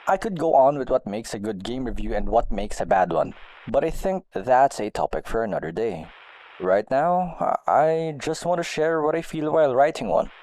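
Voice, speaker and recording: Male blogger, medium pitch, animated intonation, deliberate and expressive read of a personal essay. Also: no echo, rough recording. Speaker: video game blogger. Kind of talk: reading a personal essay aloud.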